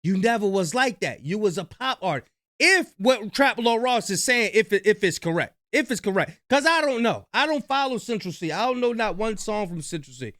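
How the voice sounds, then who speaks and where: A man doing reaction commentary in a slangy, animated way, talking straight to his viewers. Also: high-pitched, moderate pace, dry recording, studio quality.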